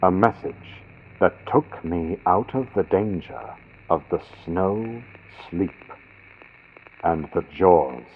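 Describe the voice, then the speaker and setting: A man's voice: low voice, animated, slow, phone-quality sound, a little hiss or hum. A man narrating a gothic story as a dramatic scripted audiobook, with a vintage radio sound from a built-in computer microphone.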